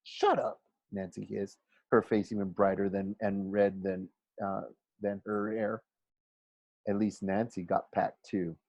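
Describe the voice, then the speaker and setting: A man with a low voice narrates a story as a teacher would, with expression. He sometimes trips over a phrase and corrects it, and the recording is close and dry. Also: flat delivery, measured pace, darkish tone, no room sound. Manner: stumbles and corrects misreadings. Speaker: teacher reading aloud.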